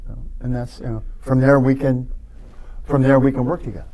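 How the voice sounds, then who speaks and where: Male guest, mid pitch, thoughtful, halting delivery. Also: fairly even intonation, dark tone, rough recording. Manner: reflective, halting, many restarts and fillers. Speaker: podcast guest. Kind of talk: casual podcast conversation.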